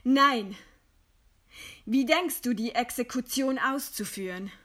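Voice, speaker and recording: A condemned woman writer in a radio drama. Her voice is fairly high and she speaks very slowly, weighing each phrase. She stays composed and proud, with ironic scorn. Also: a bit bright. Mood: cool irony. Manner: slow, deliberate, ironic. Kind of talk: German radio play acting.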